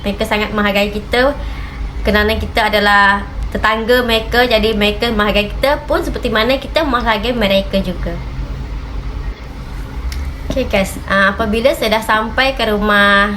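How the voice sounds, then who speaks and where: A woman's voice: mid-range voice, fairly even intonation, moderate pace, sharp tone, slight background noise, poor audio. A woman from Malaysia with a Malaysian Malay accent, telling a travel story in a casual, chatty vlog, full of "okey" and "macam" fillers. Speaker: reaction channel vlogger.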